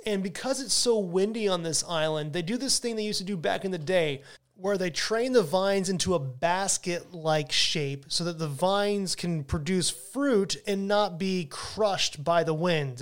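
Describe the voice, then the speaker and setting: A man hosting a podcast, talking casually and enthusiastically about wine, often restarting his sentences. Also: high voice. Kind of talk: casual educational monologue.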